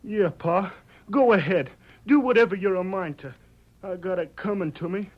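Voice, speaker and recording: A man's voice: sits high, moderate pace. A male actor playing an earnest fifteen-year-old frontier son in an old radio western, with hesitant rural speech. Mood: ashamed, earnest. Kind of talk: 1940s radio western acting. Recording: muffled old radio recording.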